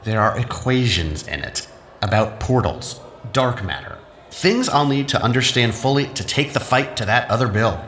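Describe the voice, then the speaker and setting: A man's voice: mid-range voice, normal speed, a bit bright, close to an everyday voice. A man playing a defiant, sardonic scientist with a dry, sarcastic delivery in an audio drama. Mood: impatient. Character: sardonic, defiant male scientist, reluctant newcomer. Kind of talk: scripted audio drama acting. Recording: echoey room with faint noise.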